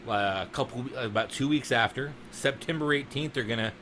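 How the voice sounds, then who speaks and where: A man's voice: medium pitch, fairly quick, pushed and bright, a little hiss or hum. A man hosting a podcast in a casual, enthusiastic chat, swearing now and then. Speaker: podcast host.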